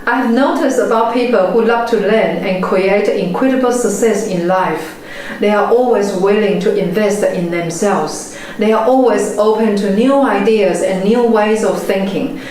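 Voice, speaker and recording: A woman in her forties, a native Chinese speaker with a Chinese accent, giving a measured, earnest motivational talk as a property investment mentor. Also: mid-range voice, darkish tone. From China. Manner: measured, earnest storytelling delivery. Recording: very echoey room, faint background noise.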